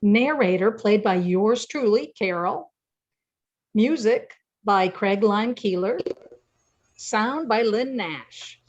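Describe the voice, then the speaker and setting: Slightly muffled audio, older female narrator, measured slow delivery, scripted radio play introductions. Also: mid-range voice. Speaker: narrator and announcer.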